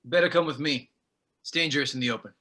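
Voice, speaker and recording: A man's voice: medium pitch, fast, pushed and bright. A man playing a wary armed army soldier in a scripted drama table read. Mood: wary, challenging. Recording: clearly noisy, clicking recording.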